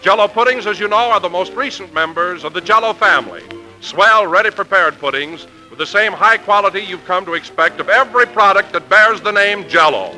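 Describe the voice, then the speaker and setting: A man's voice: sits high, sharp tone, rough recording. A man reading a scripted advertisement as a polished, emphatic 1940s radio announcer. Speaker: commercial announcer. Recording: vintage radio broadcast recording.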